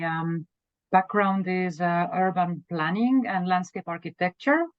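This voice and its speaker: A woman's voice: fairly low pitch, no echo. A woman introducing herself on a video call, speaking hesitantly with many fillers. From Estonia. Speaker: senior lecturer and researcher. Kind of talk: webinar self-introduction. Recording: dull, dry video-call audio.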